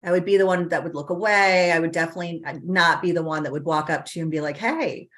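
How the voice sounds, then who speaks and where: Middle-aged dating coach, lower female voice, warm earnest chat style. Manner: earnest, animated, many fillers like "you know". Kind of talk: conversational interview. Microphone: remote call on a live stream.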